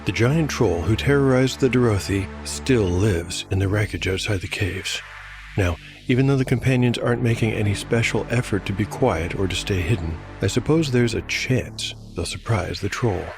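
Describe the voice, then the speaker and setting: A man's voice: on the low side, normal speed. A man narrating a fantasy tabletop game as storyteller and game master, in scripted style. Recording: music under voice. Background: clear music.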